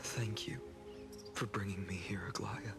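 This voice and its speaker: Guy voicing resolute young anime game hero, slow gentle delivery, kinda low, music behind. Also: steady tone, close to an everyday voice. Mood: solemn, grateful. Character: earnest, resolute young male hero bearing quiet burdens. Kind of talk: dramatic video game voice acting. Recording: loud music over the voice.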